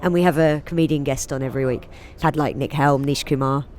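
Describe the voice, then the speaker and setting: Woman with a low voice, chatty interview guest who laughs easily. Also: fairly even intonation, a little mellow. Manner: chatty, laughs often, quick asides. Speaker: guest, comedian and singer. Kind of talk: casual interview chat.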